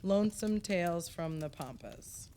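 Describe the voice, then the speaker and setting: Actress as wry, needling wife, lowish voice. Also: female voice, lively intonation, measured pace, dark tone. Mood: teasing. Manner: wry, teasing, exasperated. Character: dry, needling, long-suffering wife of a writer. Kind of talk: audio play acting, naturalistic conversation.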